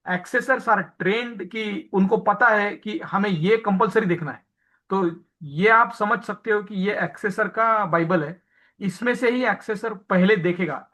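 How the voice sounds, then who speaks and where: A man with a notably high-pitched voice teaches a training session, mixing Hindi with English technical terms. He pauses often on fillers and explains ideas through homely everyday examples. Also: a bit bright. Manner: frequent hesitation fillers. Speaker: hospital accreditation trainer. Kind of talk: training lecture.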